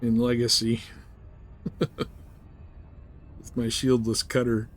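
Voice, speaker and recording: A man's voice: medium pitch, monotone, takes it slowly, a little hiss or hum. A man livestreaming a game, chatting casually and thinking aloud in a rambling way. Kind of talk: casual gameplay stream chat.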